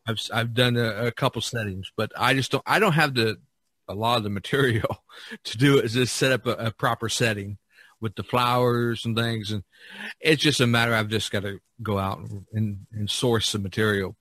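Bright, deep-toned man explaining haltingly. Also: fairly even intonation, dry recording. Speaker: studio painter being interviewed in a role-play. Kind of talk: casual unscripted podcast conversation.

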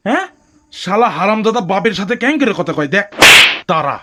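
A man's voice: sits high, lively intonation, brisk, a bit bright. A man voicing a hot-tempered, scolding father in a comic cartoon, with a northern Bangladeshi accent. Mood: stern. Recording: faintly noisy cartoon soundtrack.

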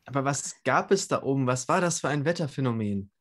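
A man of medium pitch improvises in character during a tabletop game. His delivery is questioning, with hesitant turns as he feels out his words. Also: lively intonation, fairly quick, fairly bright tone. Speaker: tabletop roleplaying player. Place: indoor home, video call.

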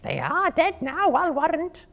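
A malicious storybook spider, screeches in an extremely high, hissing voice. It is hungry and sinister, stretching out its words with menace. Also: male voice, huge pitch swings, unhurried, gravelly, a bit bright, highs cut off, exaggerated voice. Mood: gleeful, scheming. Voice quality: hissing, shrill.

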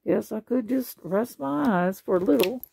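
A woman's voice: fairly high pitch, animated, moderate pace, vocal fry, a little mellow, slight background noise, pitched above the performer's own voice. A woman voicing a big, friendly, sleepy, furry male monster in a storybook, murmuring and sighing. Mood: resigned, sleepy.

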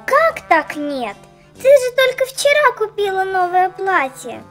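A woman's voice: very high voice, very animated, measured pace, soft background music, exaggerated voice. A woman voicing a sassy, skeptical little doll girl in a children's cartoon, with a sarcastic, exclamatory manner. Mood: shocked.

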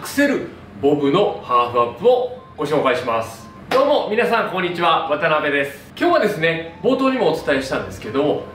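Animated man in a roomy, distant recording. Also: fairly high pitch, a little fast, hardly any pauses. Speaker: hairdresser presenting a tutorial. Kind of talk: instructional hair tutorial to camera. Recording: roomy echo, distant mic, background music. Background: music.

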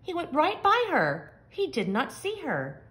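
A woman reading a picture book aloud to young children, with expressive, simple and emphatic phrasing. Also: high voice, a little slow. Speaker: children's story reader. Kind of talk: expressive storybook read-aloud.

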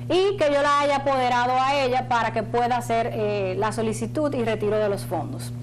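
A woman in her thirties explaining procedures as a studio guest, in halting phrases with repeated words. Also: a little high, a little hiss or hum, low-quality recording. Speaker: financial agency spokeswoman, studio guest. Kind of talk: explanatory interview talk. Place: small indoor TV studio.

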